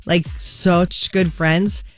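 A woman's voice: fairly low pitch, flat delivery, drawn-out words, faint music behind. A woman co-hosting a morning radio show, chatting casually and reading celebrity news with quips. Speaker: radio co-host. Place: radio studio. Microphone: studio microphone.

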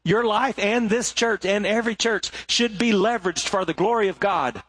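A middle-aged male pastor preaching a sermon, swinging between rising emphasis and hushed, low moments. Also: moderate pace, breathy edge.

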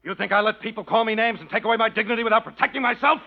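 A blustering, hot-tempered creditor, blunt and scornful, with a fairly high man's voice, played by an actor. Old-fashioned radio acting on a dull, muffled recording. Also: racing, gravelly. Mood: belligerent. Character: blustering, hot-tempered man demanding a debt.

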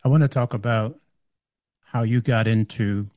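A man's voice: on the low side, fairly even intonation, normal speed, dark tone, dry recording, studio quality. A man asking conversational questions as an interviewer.

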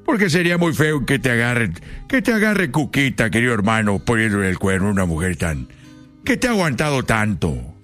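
A man's voice: mid-range voice, very animated, brisk, fairly bright tone. A man doing a comedic impression of an old Mexican ranchera singer, sermonizing his brother repetitively in a Mexican accent. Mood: indignant. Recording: music playing behind the voice.

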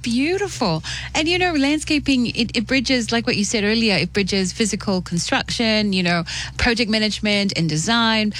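A woman's voice: a little high, expressive, normal speed, a bit bright, low-quality recording. Upbeat woman radio host. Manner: upbeat, welcoming, stumbles on words. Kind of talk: radio interview hosting. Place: radio studio.

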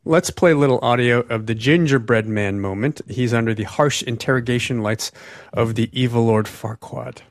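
A man's voice: medium pitch, moderate pace, no room sound. Middle-aged man hosting, dry studio. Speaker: radio host. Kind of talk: conversational broadcast interview.

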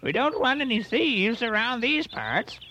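An actor voicing a gentle, cautious older animal neighbour, fatherly and hesitant, in a cartoonish audio drama. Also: medium pitch, big pitch swings, a little slow. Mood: gentle caution. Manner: hesitant, stammering, mild admonishing. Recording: dull lo-fi sound, faint noise.

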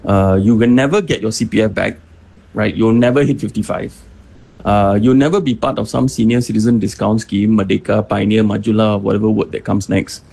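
A man's voice: fairly low pitch, fairly even intonation, brisk, vocal fry, mellow. A man hosting a morning radio show, joking casually and listing points offhand. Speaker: radio host.

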